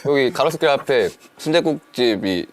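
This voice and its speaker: A man's voice: mid-range voice, moderate pace. A man in his thirties from Daegu, South Korea, hosting a casual chat and telling his own stories with animation. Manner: animated storytelling, drifts into dialect. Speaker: host.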